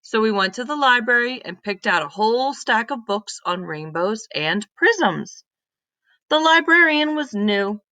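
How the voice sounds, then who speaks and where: A woman narrates a children's story with deliberate expression. Her voice is bright and clearly projected, at a middle pitch. She takes her time over the sentences, and the sound is close and dry. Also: dry recording. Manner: deliberate, animated reading with emphatic exclamations. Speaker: read-aloud storyteller.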